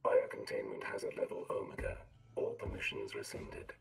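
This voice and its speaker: An actor voicing an eerie, impassive android in a video game, coldly polite, formal and deadpan. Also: male voice, normal speed, natural voice. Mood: official, flat. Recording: distant voice amid loud game noise.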